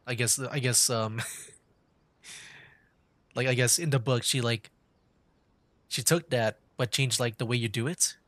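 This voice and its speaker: A man's voice: medium pitch, fairly even intonation, a little slow, pauses often, a bit bright. A young man streaming a game with casual, hesitant commentary, rambling and repeating his words. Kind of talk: casual live gaming commentary. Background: game music.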